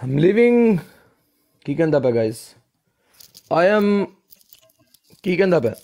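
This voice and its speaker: A man from Pakistan whose first language is Urdu, answering briefly in halting broken English on a video call. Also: fairly high pitch, big pitch swings, creaky, dark tone. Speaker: live stream guest. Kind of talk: casual video call chat.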